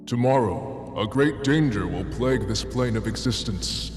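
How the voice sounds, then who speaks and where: A man's voice: lowish voice, normal speed, lightly acted. A man playing a solemn, commanding god of the heavens in a fantasy audio drama, speaking in grave, formal proclamations. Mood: grave warning. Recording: cavernous long reverb. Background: music.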